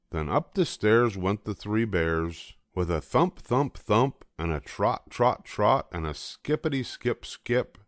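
Older guy reading a kids story, really deep voice, dry recording. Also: no room sound. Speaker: storybook reader. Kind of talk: scripted storybook narration for children.

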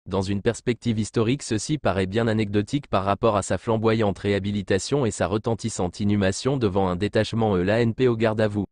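A man with a fairly low voice reads a scripted news commentary. His delivery is formal and literary, clearly read from a written text. The sound is dry, with little room around the voice. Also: fairly even intonation, brisk, few pauses, darkish tone, no echo. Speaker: news narrator.

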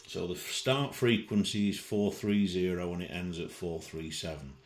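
A man giving an informal software demonstration as a tutorial presenter, methodical and thinking aloud, recorded indoors at a desk. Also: deep voice, moderate pace, reverberant.

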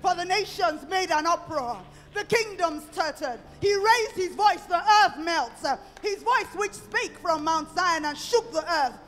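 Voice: very high voice, lively intonation, unhurried, hardly any pauses, fairly bright tone, in a large hall, soft background music. A preacher delivering a sermon in a rhythmic style, returning again and again to one refrain.

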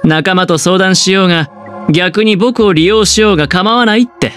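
A man voicing a smug, scheming young gambler in a Japanese anime game, with smooth, teasing, theatrical delivery. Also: sits high, fairly bright tone. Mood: smug, confiding. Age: young adult. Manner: smooth, theatrical, teasing, drawn-out dramatic pauses. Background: background game music.

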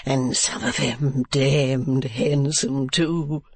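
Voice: medium pitch, fairly even intonation, drawn-out words, no room sound. A reader voicing an affected male dandy in a classic novel, drawling in a melodramatic, poetical manner. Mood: languid, lovesick. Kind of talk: classic novel audiobook character.

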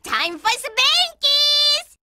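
Very squeaky little girl vampire. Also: very animated, very slow, noisy background, heavily acted. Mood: giddy, whiny. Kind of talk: animated cartoon video game acting.

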